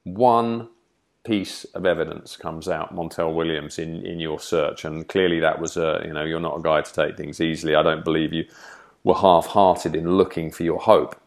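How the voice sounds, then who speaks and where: Brief: reflective male host, very low voice, long winding questions. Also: steady tone, moderate pace. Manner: thoughtful, rephrases questions at length. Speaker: interviewer. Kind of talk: podcast interview.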